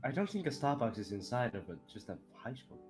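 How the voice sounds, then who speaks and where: A man's voice: mid-range voice, very animated, a little fast, a little mellow, faint music behind. A man making casual interjections as a participant in a group livestream chat.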